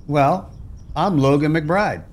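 A man's voice: fairly high pitch, takes it slowly. An actor playing a weary, plain-spoken American rancher down on his luck in a family radio play. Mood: earnest.

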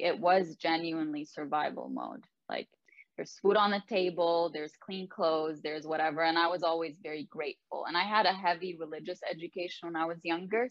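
A young woman from Lebanon speaks in a low voice, reflecting candidly and earnestly on her own story with casual asides. The recording is dry and close, with a slightly dull, muffled tone. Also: dry recording. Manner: candid and earnest, with many "like" and "you know" asides. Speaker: author sharing her life story. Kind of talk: personal reflective monologue.

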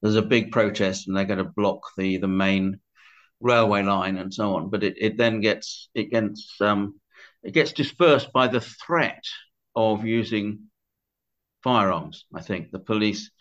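A man's voice: on the low side, a bit bright. An older man speaking as an interview guest about a book, conversational and tentative, often correcting himself. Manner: tentative, self-correcting, halting. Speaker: podcast guest. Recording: dull, band-limited audio.

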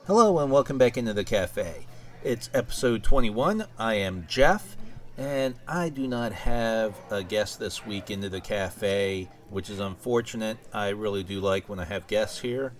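A man with a fairly low voice talks casually as a solo podcast host. His delivery is conversational and a little halting, as if thinking it through while he speaks. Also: lively intonation.

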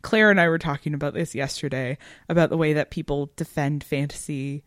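A woman's voice: sits low, fairly even intonation, a little fast, no room sound, clean studio sound. A woman co-hosting a podcast, discussing literature conversationally in an analytical, academic way. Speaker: podcast co-host.

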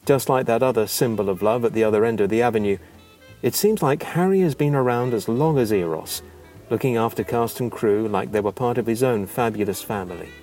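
A man narrating a written memoir in an expressive, measured, theatrical storytelling manner. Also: mid-range voice, soft background music.

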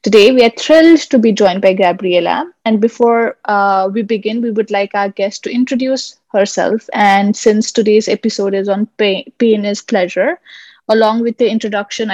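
A woman's voice: mid-range voice, darkish tone, no echo, highs cut off. Woman hosting, dry close sound. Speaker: podcast co-host. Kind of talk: scripted hosting.

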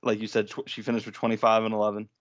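A man's voice: fairly low pitch, little pitch movement, rapid-fire. A young man co-hosting a sports podcast in a casual, conversational style.

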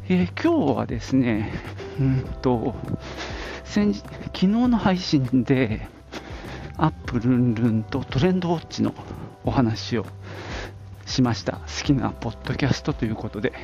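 A man's voice: medium pitch, big pitch swings, measured pace, creaky, dark tone. Middle aged guy from nagoya area chatting solo on a podcast, rambly and informal, music behind him, kinda lo-fi. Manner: informal, rambling with self-asides. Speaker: podcast host. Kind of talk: casual solo reminiscing.

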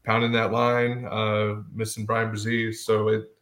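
A man's voice: fairly low pitch, completely flat delivery, unhurried, a crowd in the background. Bantering male sports podcast co-host. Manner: blunt, bantering, profane. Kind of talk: casual sports chat.

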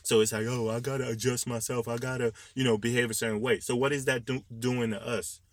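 A man with a fairly deep voice co-hosting a casual podcast discussion, talking through his thoughts in an earnest, reflective way. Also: little pitch movement, fairly quick. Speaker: podcast co-host.

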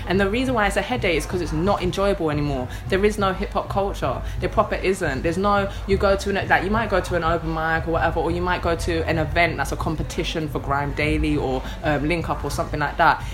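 A woman's voice: fairly low pitch, fairly quick, hardly any pauses, a little hiss or hum. A London woman in her late twenties, a rapper and DJ, chatting frankly in an interview and laughing often. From London, England. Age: late 20s. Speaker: rapper and DJ guest. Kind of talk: casual interview chat.

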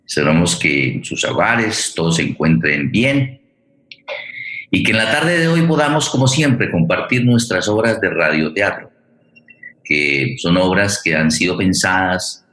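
A man, speaking formally and haltingly as he welcomes listeners and introduces an online radio theatre programme. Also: a bass voice, a bit bright. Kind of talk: spoken introduction to a live online forum. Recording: roomy, echoing sound.

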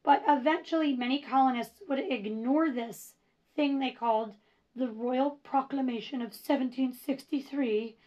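A woman hosting a casual history podcast, chatty and self-deprecating with rambling asides, her voice going hoarse. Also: sits high, moderate pace. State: losing her voice. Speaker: podcast host. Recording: dull lo-fi recording.

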